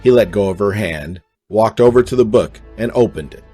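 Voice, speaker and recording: A man's voice: on the low side, moderate pace, warm tone, soft background music. A man reading scripted narration for a radio drama.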